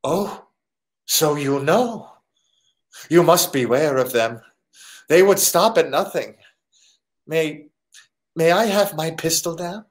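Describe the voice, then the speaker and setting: An actor playing a polite, wary, menacing crook in a radio drama, formal, fussy and precise. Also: male voice, on the high side, slow, pauses often. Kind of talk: old-time radio drama acting.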